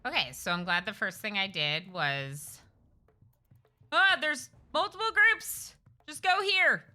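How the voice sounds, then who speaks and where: A woman streaming a game live, chatty and excitable, talking to her characters as she plays at home. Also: mid-range voice, slow, faint music behind. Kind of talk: live gameplay commentary.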